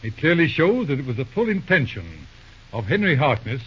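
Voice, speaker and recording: An actor playing a shrewd, formal judge and lawyer, speaking deliberately and politely but pointedly in an old-time radio drama. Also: male voice, mid-range voice, sing-song, breathy edge. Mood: dryly triumphant. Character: shrewd, courteous lawyer judge, calmly cornering his opponent. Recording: muffled old radio recording.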